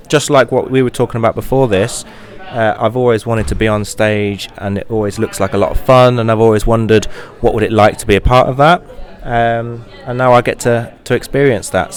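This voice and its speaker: A man, an actor about to play his first pantomime role, answering questions in an upbeat, friendly and eager way. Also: mid-range voice. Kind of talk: casual interview chat.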